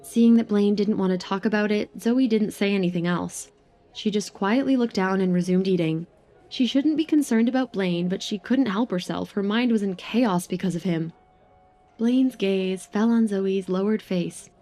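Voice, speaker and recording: A woman narrates a scripted romance in the third person. Her voice is soft-edged and mellow, at a middle pitch. Faint music plays underneath. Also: normal speed.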